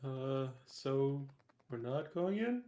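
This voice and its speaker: Male player, average pitch, musing through his character's moves. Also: sing-song, slow, warm tone. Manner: thinks aloud about his character's actions. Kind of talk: tabletop game play.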